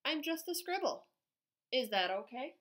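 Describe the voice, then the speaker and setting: A woman's voice: sits high, very animated, measured pace, a bit bright, exaggerated voice. A woman voicing a cheerful, earnest young scribble drawing in a storybook, eager and pleading to play. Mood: unsure.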